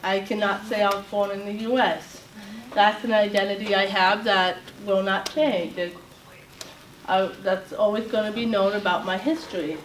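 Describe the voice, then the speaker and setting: A woman from Latvia giving an informal talk to a group as a self-advocate, speaking haltingly with many fillers and restarts. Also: medium pitch, steady tone, slight background noise. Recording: roomy echo, voice far from mic, faint ambience.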